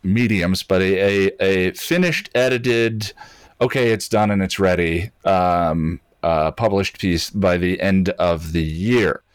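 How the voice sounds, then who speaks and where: A man's voice: sits low, slow pace, clear, resonant tone, no room sound, clean studio sound. A man hosting a casual podcast conversation in a self-deprecating, hesitant manner. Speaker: podcast and channel host.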